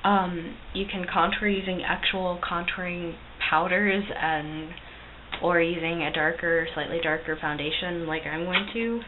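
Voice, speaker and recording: A woman's voice: lowish voice, steady tone, measured pace. A young woman giving a casual makeup tutorial in a chatty, self-deprecating way, with frequent ums. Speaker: cosplay makeup vlogger. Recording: tinny lo-fi recording with loud hiss.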